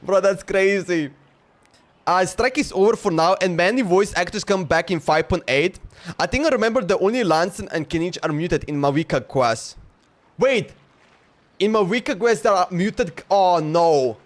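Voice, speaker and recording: A man's voice: high voice, animated, normal speed. A man in his twenties with a Slovenian accent, giving casual, rambling livestream commentary on a game. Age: 20s. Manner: rambling, exclamatory.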